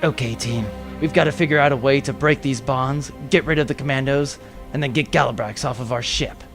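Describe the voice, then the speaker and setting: An actor playing a brisk, earnest military commander of space pirates in a comedic audio drama. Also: mid-range voice, over music, close to an everyday voice. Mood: low, conspiratorial.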